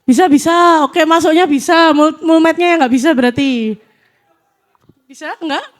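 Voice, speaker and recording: A woman's voice: sits high, measured pace. Chatty nervous young woman preacher. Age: 20s. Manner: chatty, self-deprecating, nervous. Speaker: youth church speaker. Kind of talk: casual youth sermon with audience interaction. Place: church hall, live audience.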